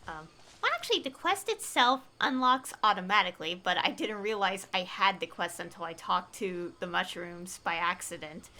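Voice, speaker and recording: A woman's voice: medium pitch, bright, forceful tone, a little hiss or hum. A woman talking casually and in a rambling way, as a streamer commentating on a game. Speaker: game livestreamer. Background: game music.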